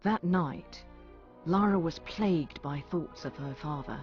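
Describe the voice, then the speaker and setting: A man narrating a fantasy adventure game as a solemn, measured storyteller. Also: fairly high pitch, close to an everyday voice. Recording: dull sound under clear music. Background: music.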